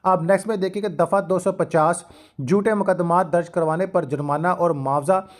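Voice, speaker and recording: A man's voice: sits high, steady tone, normal speed. A man with a Pakistani accent giving an explanatory legal lecture, partly read out, in a formal manner with English terms mixed in. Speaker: law lecturer.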